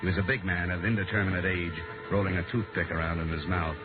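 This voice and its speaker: An actor playing a cool, terse insurance investigator with a dry, clipped delivery in a 1950s radio detective drama. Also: male voice, low-pitched, steady tone, quick pace, narrow, tinny audio, close to an everyday voice. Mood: tense narration. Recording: thin vintage radio recording with music.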